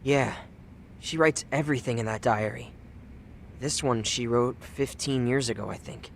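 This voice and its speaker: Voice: medium pitch, moderate pace, creaky, slight background noise, lightly acted. An actor playing a cheeky but earnest teenage boy in a video game dub, talking in offhand, youthful slang. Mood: subdued, matter-of-fact.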